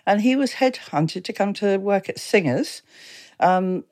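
A woman in her seventies from Exeter with an English accent, reminiscing casually and anecdotally as a podcast guest, quick to chuckle. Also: medium pitch, expressive, darkish tone. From Exeter, England. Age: 70s. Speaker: town mayor, podcast guest.

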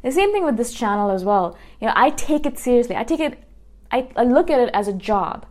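Earnest woman in her thirties, fairly high voice. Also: very animated, fairly quick, a little mellow. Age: 30s. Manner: earnest, repeats words and restarts often, asks "right?". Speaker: YouTuber. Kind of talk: casual monologue to viewers.

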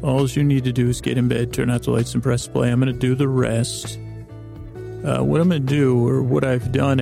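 Very creaky, gravelly man rambling softly. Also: mid-range voice. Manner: meandering, digressive, whimsical. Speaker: host of a bedtime story podcast. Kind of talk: rambling, improvised sleep-aid monologue.

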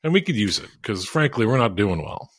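A young man co-hosting a casual sports podcast over a call, with a monotone voice and a rambling manner, laughing at his own jokes. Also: lowish voice, fairly quick, no echo, studio quality. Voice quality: monotone, self-described oafish. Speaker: podcast co-host.

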